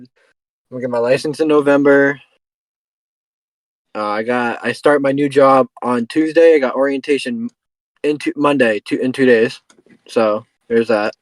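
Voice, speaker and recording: A man of twenty hosting a casual podcast chat, giving a life update with halting speech and frequent restarts. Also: mid-range voice, no echo, rough recording. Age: 20s. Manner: halting, restarts sentences often. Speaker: podcast host.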